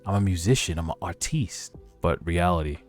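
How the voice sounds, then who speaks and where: A man's voice: low-pitched, lively intonation, normal speed. A man giving casual, slangy reaction commentary as a streamer reading a webtoon aloud, with some swearing. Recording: music behind the voice. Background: background music.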